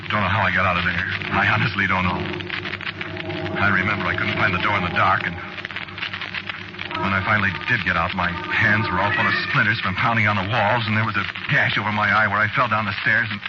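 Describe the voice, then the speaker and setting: Male actor as guilty, haunted man confessing in old-time radio thriller. Deep, confiding, halting delivery; dull archival sound. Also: flat delivery, natural voice. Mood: shaken, confiding. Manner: confiding first-person storytelling, halting repetitions. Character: haunted guilty man, a killer, confessing his fearful tale. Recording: muffled, very noisy old radio recording.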